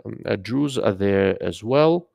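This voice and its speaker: A man's voice: on the low side, lively intonation, unhurried, creaky, darkish tone, no room sound, clean studio sound. A man with an accent hosting a live stream, talking loosely and rambling with lots of fillers as he comments and answers viewers. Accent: non-native English. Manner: rambling, filler-heavy, greets chat mid-sentence. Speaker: livestream host.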